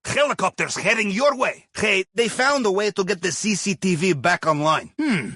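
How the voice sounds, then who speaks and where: A jovial Russian captain guides a crew through a video game heist. His Russian-accented voice sits high for a man and swings widely in pitch, with dropped articles and playful questioning tags. The sound is dry and close. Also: animated, moderate pace, bright, forceful tone, breathy edge, no echo, exaggerated voice. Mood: wry, instructive. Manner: playful, theatrical, drops articles, tags sentences with questioning "yes?" or "huh?". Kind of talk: video game mission dialogue over comms.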